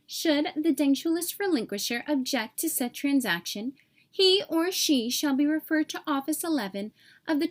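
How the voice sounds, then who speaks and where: Woman as storybook tooth fairy: high-pitched, pompous, prim official, stern and formal. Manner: formal, pompous recitation of regulations.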